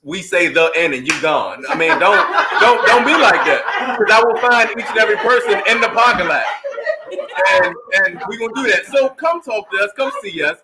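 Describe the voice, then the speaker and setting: A man's voice: high voice, lively intonation, moderate pace, very noisy. A man speaking casually as a comic actor, playful and insistent, repeating his phrases as he jokes. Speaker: stage play actor and comedian. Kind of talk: casual joking appeal.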